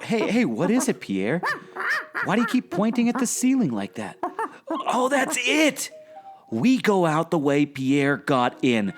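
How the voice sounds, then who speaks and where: Man playing an anxious adventurer sidekick, excitable and skeptical, lively pitch swings, radio play, faint music behind. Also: a little slow, full character voice. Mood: urgent.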